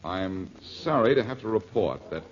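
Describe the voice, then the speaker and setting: An actor playing an earnest, resolute detective hero, polite and firm, in a 1940s radio drama. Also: male voice, on the low side, expressive, moderate pace, close to an everyday voice. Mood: earnest, apologetic. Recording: dull, noisy 1940s radio recording.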